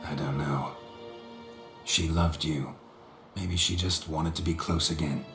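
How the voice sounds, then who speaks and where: An actor playing a gruff, stoic middle-aged monster hunter in a video game, gravelly and deadpan with clipped phrases. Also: male voice, extremely low pitch, takes it slowly, echoey room, music in the background, full character voice. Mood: somber, frank.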